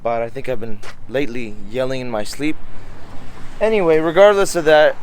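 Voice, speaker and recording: A man's voice: mid-range voice, moderate pace, a little mellow, slight background noise. A man hosting a car vlog, talking casually with fillers while he is losing his voice. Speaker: car vlogger host. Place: car performance shop. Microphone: phone camera.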